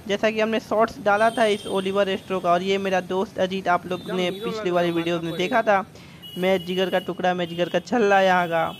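A man's voice: high voice, level tone, a little fast, slight background noise. A young man from India vlogging a night out at a restaurant, chatty and enthusiastic, talking straight to his viewers over a phone camera. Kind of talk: casual vlog narration. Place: outdoor restaurant terrace at night.

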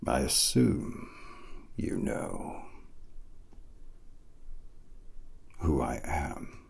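A young man playing a menacing, arrogant villain scientist in a soft-spoken ASMR roleplay, speaking deliberately with theatrical pauses, close to the microphone. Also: a bass voice, big pitch swings, vocal fry, breathy edge, heavily acted. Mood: coldly mocking.

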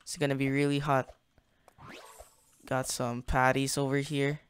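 A man's voice: medium pitch, little pitch movement, measured pace, clean tone. A young man hosting a gaming channel, casually narrating his play in a chatty, slangy way, thinking aloud as he goes. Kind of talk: casual gameplay commentary.

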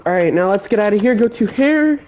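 A man's voice: high voice, expressive, a little mellow, a little hiss or hum. A man with a cold and a congested voice, hosting a step-by-step game build tutorial as a voice-over. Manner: slow, plain instruction-giving voice-over.

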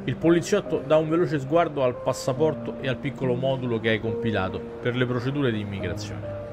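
Guy streamer reading a story game out loud, kinda theatrical, music playing behind him. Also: mid-range voice, a little fast. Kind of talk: dramatic reading aloud of a visual novel with asides to chat.

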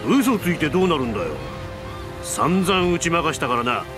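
An actor playing a burly, brash, friendly warrior in a video game, with rough, boisterous colloquial speech. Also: male voice, fairly high pitch, lively intonation, brisk, over music, lightly acted. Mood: hearty, brash. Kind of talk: video game cutscene voice acting.

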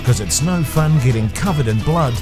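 A man's voice: medium pitch, animated, normal speed. A man reading a scripted, dry, tongue-in-cheek voice-over narration. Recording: voice-over with loud music.

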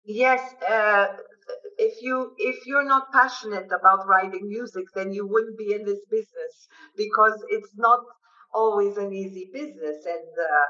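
A middle-aged American-raised woman with a bright, forceful voice talks through a muffled, lo-fi video call from her living room. She is a songwriter guest who answers thoughtfully, pausing often with fillers and light laughs. The sound is the flat quality of a laptop webcam mic. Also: medium pitch. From United States. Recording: dull lo-fi video call audio.

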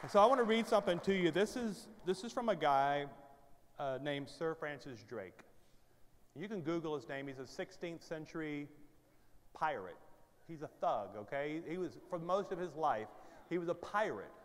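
A pastor in his fifties preaches with a headset mic in a big sanctuary, his fairly high voice trailing a long reverb. He talks like a friend telling stories, then presses hard with urgent exhortation while pacing the stage. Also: lively intonation, normal speed, some chatter behind. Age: 50s. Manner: conversational, rising to urgent exhortation; paces the stage gesturing. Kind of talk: sermon. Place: large church stage with hall reverb. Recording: hall reverb from large sanctuary.

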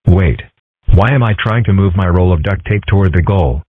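Husky low-voiced man, deadpan and tinny. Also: moderate pace, no room sound. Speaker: comic dub voice actor. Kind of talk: reading comic strip dialogue aloud.

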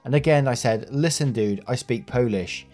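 A man reading Reddit posts aloud in a steady, plain way and adding casual remarks to his listeners. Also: medium pitch, moderate pace, faint music behind. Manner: plain, steady reading with brief laughs and chatty sign-offs. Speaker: Reddit story narrator.

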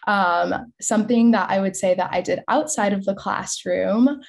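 A woman's voice: medium pitch, normal speed, vocal fry. A woman of about twenty with a Californian American accent, answering as a student panelist in an informal way. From California, United States. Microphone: video call.

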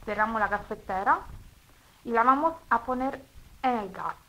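An Italian woman with an Italian accent speaking Spanish as a second language, demonstrating a recipe step by step at home in a careful, slightly halting way. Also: a little high, lively intonation, a bit bright, slight background noise, poor audio. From Italy. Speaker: cooking video host. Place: home kitchen table.

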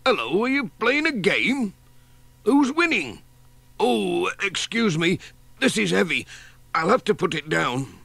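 A man's voice: high-pitched, sing-song, measured pace, vocal fry, sharp tone, slightly rough, no echo, pitched above the performer's own voice, heavily acted. A man voicing a cheery, chatty village grocer in a children's storybook. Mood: cheery, busy.

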